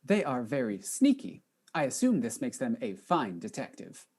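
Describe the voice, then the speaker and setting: A woman playing a very deep, husky, polite robot. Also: wildly swooping pitch, darkish tone, heavily acted. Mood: earnest, formal. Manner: formal, measured wording. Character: polite, earnest robot chief with formal speech. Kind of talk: comedic audio drama acting.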